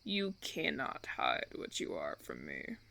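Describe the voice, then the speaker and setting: A man playing a smug, menacing vampire recruiter in an audio roleplay, with a gravelly, creaky voice and theatrical, taunting, drawn-out phrasing. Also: low voice, huge pitch swings, sharp tone, heavily acted. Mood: commanding, intense. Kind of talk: ASMR audio roleplay acting.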